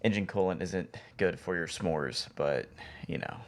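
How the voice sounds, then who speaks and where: A man's voice: low voice, unhurried. A man co-hosting a podcast in casual chat with dry, wry humor. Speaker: podcast co-host.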